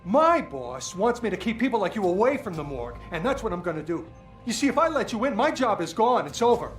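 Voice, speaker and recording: A man's voice: sits high, sing-song, a little fast, faint music behind. A man voicing a bitter, demoted male police desk sergeant in a game, talking defensively. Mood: defiant. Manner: defensive, resentful.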